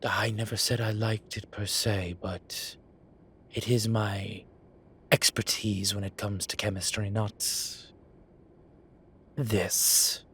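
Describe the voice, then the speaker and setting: Guy doing an old demon professor incubus for audio rp, really slow talker, dry n fed up, kinda flustered, noisy background. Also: on the low side, flat delivery, a bit bright. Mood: wry, weary. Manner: halting, sighing, dry, slightly flustered. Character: ancient male incubus demon professor, bookish, exasperated, dryly teasing. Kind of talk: audio roleplay acting, intimate one-sided dialogue.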